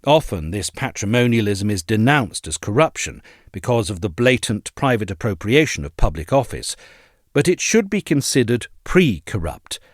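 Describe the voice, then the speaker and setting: A man reading a scripted essay as narrator, in a measured, articulate, formal manner. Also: on the low side, big pitch swings, no echo, clean studio sound.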